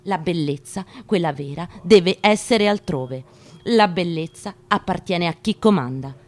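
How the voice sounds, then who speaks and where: A woman giving a dramatic literary reading, measured and emphatic, pausing between short phrases. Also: fairly low pitch. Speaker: actress giving a staged reading.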